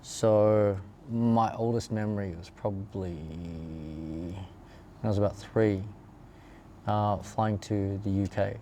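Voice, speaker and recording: Low, mellow Australian man, hesitant. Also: slow pace. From Perth, Australia. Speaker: interview guest telling their life story. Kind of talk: casual conversational storytelling. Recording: clear background ambient noise.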